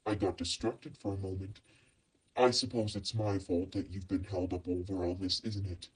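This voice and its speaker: A man's voice: low voice, level tone, normal speed, breathy edge, poor audio. A man playing a cold, menacing, possessive father in an acted fan fiction audio drama, icily polite and biting. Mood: calm, friendly menace.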